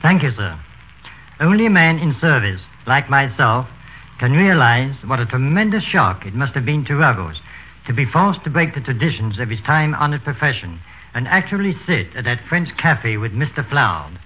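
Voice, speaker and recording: An Englishman speaks as a butler in a scripted radio talk. His manner is formal and courteous, his pitch medium. The 1930s sound is very tinny and narrow. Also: sing-song, moderate pace, husky. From England.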